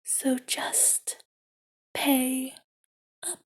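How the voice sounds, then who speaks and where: A woman's voice: high-pitched, fairly even intonation, extremely slow, exaggerated voice. A woman voicing a menacing, knife-wielding street extortionist in an ASMR audio roleplay, taunting and mock-sweet with hissed whispers.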